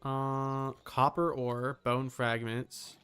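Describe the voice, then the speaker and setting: A man's voice: medium pitch, flat delivery, extremely slow, a bit bright, clear, resonant tone, soft background music. A man giving casual live commentary as a game streamer, muttering short, casually profane reactions.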